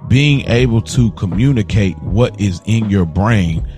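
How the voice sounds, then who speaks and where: A man's voice: on the low side, expressive, a little slow. A man co-hosting a podcast, explaining his views in casual conversation with emphatic, repeated phrasing. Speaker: podcast co-host. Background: background music.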